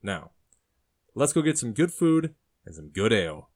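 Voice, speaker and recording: A man's voice: lowish voice, very animated, normal speed, a little mellow, husky. A man doing a firm, unfazed young man. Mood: gentle. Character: calm, firm young man speaking truthfully.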